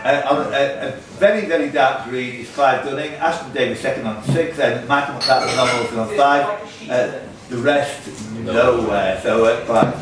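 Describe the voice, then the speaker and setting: A man gives out scores and trades casual banter at a quiz. His medium-pitched voice is distant from the microphone in a very echoey room. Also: moderate pace, loud music behind the voice. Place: quiz tournament in a pub.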